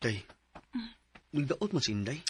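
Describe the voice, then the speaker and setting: A man's voice: medium pitch, very animated, a little slow, slightly rough, heavily acted. A man playing a protective, concerned husband and father in a radio drama.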